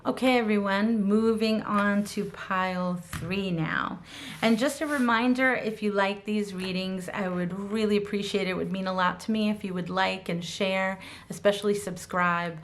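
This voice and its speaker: Woman tarot reader, slightly hoarse voice, effusive and chatty, mid-range pitch. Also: steady tone, moderate pace. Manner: gushing, enthusiastic, many ums and restarts. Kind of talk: casual tarot card reading to camera.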